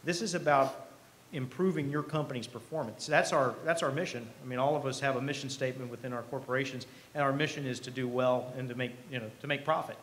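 Middle-aged male keynote speaker, mid-pitched, earnest and conversational, live podium sound with noticeable room noise. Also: quick pace, fairly bright tone. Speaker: company president, keynote speaker. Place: event hall stage. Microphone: podium microphone. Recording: live podium speech with clear background noise.

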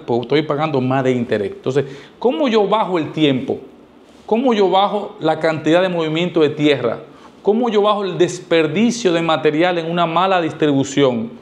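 A middle-aged man, explaining things to camera in an emphatic, didactic way with rhetorical questions and repetition. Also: a little high. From Dominican Republic. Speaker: architect giving advice. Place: small indoor studio, seated. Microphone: lavalier clipped to shirt.

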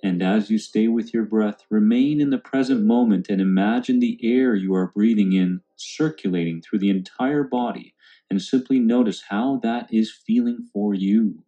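A man calmly guiding a meditation in a soothing, unhurried way. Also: lowish voice, lo-fi sound. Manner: calm, soothing, unhurried instruction. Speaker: meditation guide. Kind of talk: guided meditation narration. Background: background music.

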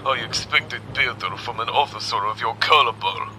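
An actor playing a stern, pompous commodore with a cutting, commanding manner in a science fiction audio drama. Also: male voice, medium pitch, moderate pace, bright, forceful tone, faint music behind. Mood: scornful. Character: stern, scornful male space military commodore.

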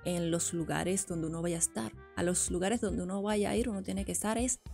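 A woman's voice: lowish voice, fairly even intonation, brisk, vocal fry, warm tone, faint music behind. A woman, chatting casually into a headset mic while she reacts to a video and adds her own comments. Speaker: reaction streamer.

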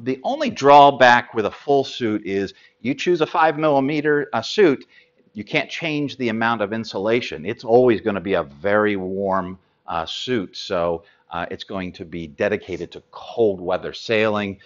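Male American presenter, fifties, lower register, informal halting explainer style, slightly dull studio audio. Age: 50s. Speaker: sailing gear expert presenter. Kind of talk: informal product explainer to camera.

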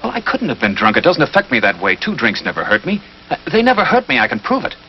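Cornered, agitated crime suspect. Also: male voice, mid-range voice, wildly swooping pitch, fast talker. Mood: panicked pleading. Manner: agitated, protesting. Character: nervous, defensive male suspect cornered by police. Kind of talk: 1950s television crime drama acting. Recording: dull 1950s TV soundtrack, faint noise.

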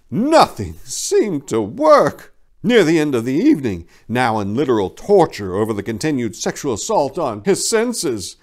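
A man in his forties reads a story aloud in a theatrical, mock-serious way. His mid-pitched voice swings widely, swelling into emphatic peaks and dropping into hushed asides. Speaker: reader. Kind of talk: dramatic reading of a parody romance story. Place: small indoor room lined with bookshelves. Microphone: lapel microphone.

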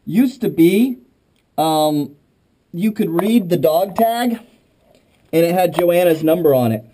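A man's voice: high-pitched, expressive, slow, mellow, slight background noise. A man chatting and joking as he films inside a cramped cave on a head-mounted camera. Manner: wry, joking, rambling. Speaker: cave explorer filming. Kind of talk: casual chat while caving. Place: tight underground cave.

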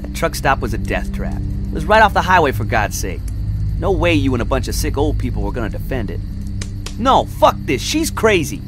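Casting: blunt young male skeptic, distrustful, animated delivery, game drama. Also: mid-range voice, fairly quick, music in the background. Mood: scornful. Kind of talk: video game drama acting.

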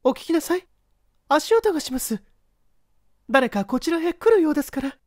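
A man's voice: extremely high pitch, big pitch swings, normal speed, darkish tone, no room sound, studio quality, pitched above the performer's own voice. A man voicing an anxious young lady who speaks with urgency, in a storybook reading. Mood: alarmed.

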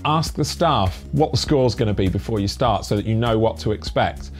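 A young man from Britain talking to camera on a lapel mic, giving brisk, earnest advice with dry asides. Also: lowish voice, lively intonation, soft background music. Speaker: family cycle touring vlogger. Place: indoor room with brick wall and bikes.

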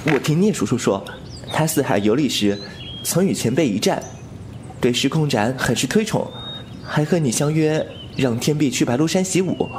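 An actor playing a frank, inquisitive, cheeky young swordsman in a wuxia audio drama, with an expressive, exclamatory delivery. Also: medium pitch, moderate pace, noisy background, lightly acted. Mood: casual. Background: music.

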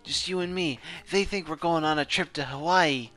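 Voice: mid-range voice, moderate pace, sharp tone, faint music behind. A casual amateur dubber joking and swearing while voicing a game with friends. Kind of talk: joking group dub of a video game.

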